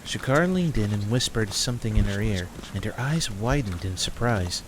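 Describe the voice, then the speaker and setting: A man's voice: lowish voice, lively intonation, moderate pace, noisy background. A man reading scripted story narration as the narrator of a fantasy audio drama.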